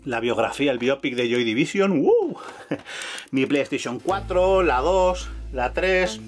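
A man's voice: mid-range voice, sing-song, slow pace, faint music behind. A middle-aged man from Catalonia with a La Mancha accent, chatting enthusiastically to viewers as he walks through a room at home. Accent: La Mancha Spanish. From Catalonia, Spain. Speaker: YouTube host. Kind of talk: casual room tour vlog. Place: home room full of shelves, indoors.

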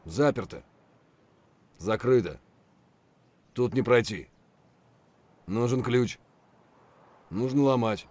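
An aggressive, coarse soldier from a military shooter game. His callouts are short and punchy, with big swings in pitch, and the recording is dry and close. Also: expressive, takes it slowly, pauses often, a little mellow, no echo. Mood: hushed, wary. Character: aggressive, foul-mouthed Russian mercenary soldier in combat. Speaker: video game voice actor. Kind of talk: military shooter combat barks.

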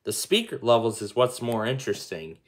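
A man's voice: mid-range voice, big pitch swings, fairly quick, very noisy. A man giving a casual step-by-step tutorial, often repeating and rephrasing himself as he explains. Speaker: tutorial host. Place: indoor room at a table.

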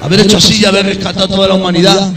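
A man delivers a fervent sermon in a noticeably high voice. He sinks into low, confiding stretches before climbing to loud, ringing peaks, with clear music playing under him throughout. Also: little pitch movement, racing, distant mic. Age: adult. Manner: impassioned, swings between low intimate tones and high shouted peaks. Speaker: preacher.

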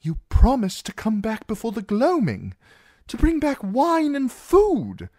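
A man's voice: extremely high pitch, wildly swooping pitch, a little slow, darkish tone, no room sound, voice pushed up, heavily acted. A man voicing an anxious, timid young man in an audiobook, earnest and flustered as he pleads. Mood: pleading. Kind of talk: audiobook character voice.

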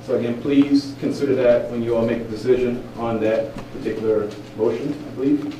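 A man's voice: mid-range voice, fairly even intonation, a little fast, noisy background. A man addressing a council meeting as a board member, earnest and urging. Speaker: code enforcement board member. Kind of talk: public comment at a council meeting. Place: large council chamber. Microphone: podium microphone heard from a distance. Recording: echoey chamber, mic at a distance.

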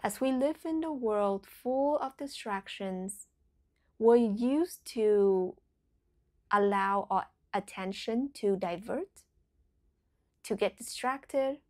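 A woman leading a guided meditation in a soothing, deliberate way, letting phrases trail into a breathy whisper. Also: mid-range voice, warm tone.

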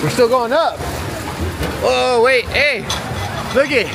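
A man's voice: very high-pitched, slow pace, creaky. A man filming his kids at a fair, playfully teasing them and cheering them on. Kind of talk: casual family vlog. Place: outdoors at a busy fairground. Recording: loud crowd and fair noise.

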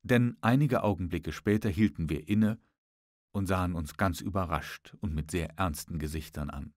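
A man narrating a literary audiobook in a measured, expressive storytelling manner. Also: deep voice, no echo, studio quality.

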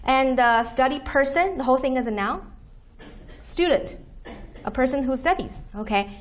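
A woman whose first language is Chinese, giving a university lecture from a podium and explaining terms slowly with many "okay"s. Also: high voice, animated. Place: lecture room, standing at podium by projector screen.

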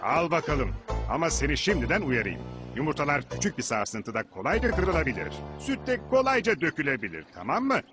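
A man's voice: a little high, big pitch swings, brisk. An actor playing a fatherly, easily flustered farmer shopkeeper in a dubbed children's cartoon, exclaiming and cautioning. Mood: friendly warning. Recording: music behind.

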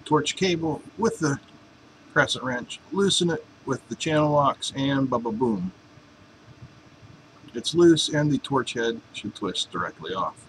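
A man's voice: mid-range voice, lively intonation, a little slow, noisy background. Joking man doing a workshop how-to. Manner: joking, offhand, folksy. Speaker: welding hobbyist demonstrating a torch swap. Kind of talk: casual workshop how-to narration. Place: home workshop, indoors.

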